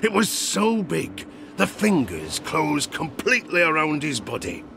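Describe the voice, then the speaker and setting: Gravelly old sea dog for audio drama: salty, conspiratorial yarn-spinner, swooping from hushes to big swells, faint ambience behind. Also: male voice, on the high side, wildly swooping pitch, unhurried, a little hiss or hum, full character voice. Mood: excited boasting. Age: older. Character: old one-legged sailor Lars, spinning dark sea tales. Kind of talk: theatrical storytelling in an audio drama.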